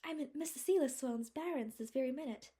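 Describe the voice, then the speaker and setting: A woman's voice: fairly high pitch, fairly even intonation, moderate pace, warm tone, pitched above the performer's own voice. A woman reading an audiobook voices a dreamy, eager young woman who speaks breathily and often drops to a whisper. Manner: breathy, rapturous, at times whispering. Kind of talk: audiobook character voice.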